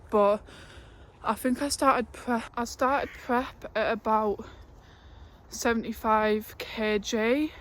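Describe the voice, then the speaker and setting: A twenty-year-old woman, chatting casually in a fitness vlog with fillers and laughs, a little breathless from walking uphill. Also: on the high side. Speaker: fitness vlogger. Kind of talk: casual vlog talk to camera. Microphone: phone held at arm's length.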